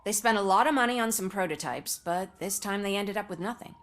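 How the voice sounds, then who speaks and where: An actress playing a candid, sardonic commercial actress in a game, chatty and dry. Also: female voice, medium pitch, brisk, close to an everyday voice. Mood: wry.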